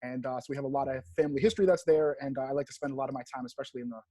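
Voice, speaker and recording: A man's voice: medium pitch, fairly even intonation, very fast, dark tone, dry recording. An American man in his thirties from Rhode Island, a real estate agent telling his story casually as an interview guest. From Rhode Island, United States.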